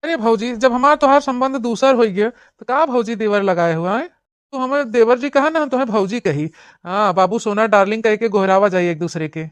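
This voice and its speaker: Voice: medium pitch, normal speed, clean tone, no room sound, lightly acted. An actor playing a lovesick, pleading young village brother-in-law in a comedy cartoon, gushing and wheedling in an Awadhi accent. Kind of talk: cartoon comedy acting in rural dialect.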